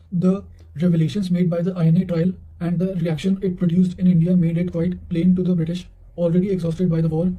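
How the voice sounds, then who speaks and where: A man with a high-pitched voice and a very dark, soft-edged tone reads study material aloud. His delivery is careful and flat in intonation, with small stumbles over words as he goes. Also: rough recording. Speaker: reader of history study notes. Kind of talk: reading quotations aloud for exam revision.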